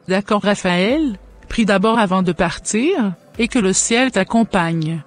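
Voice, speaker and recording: A woman's voice: medium pitch, unhurried, lightly acted. A woman as a pious, cautioning mother. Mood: cautious, admonishing. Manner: admonishing. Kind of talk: scripted cartoon dubbing.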